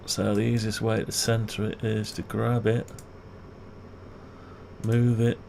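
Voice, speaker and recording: Man, low gravelly voice, muttering tutorial, thinking aloud, self-questioning, background noise with keyboard typing. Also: flat delivery, takes it slowly, a little mellow. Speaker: video creator demonstrating CAD design. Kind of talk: unscripted thinking aloud while working.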